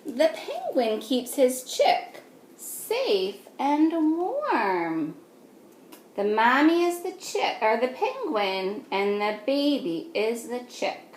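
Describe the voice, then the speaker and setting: Slow, sing-song woman reading to children. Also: fairly high pitch, big pitch swings. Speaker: teacher reading aloud to young children. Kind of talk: picture-book read-aloud with simple questions to children. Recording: echoey room with faint noise.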